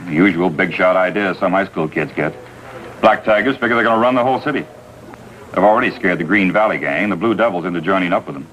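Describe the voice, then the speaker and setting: A man's voice: deep voice, quick pace, fairly bright tone, rough recording. A man playing a weary, businesslike juvenile division police officer in a 1950s procedural drama, plain-spoken and clipped. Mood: factual. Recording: 1950s TV soundtrack, faint noise.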